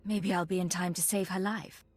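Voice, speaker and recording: A woman's voice: on the low side, steady tone, normal speed, no room sound, close to an everyday voice. A woman voicing a confident, deadly imperial agent in a video game, composed and businesslike. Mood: guarded.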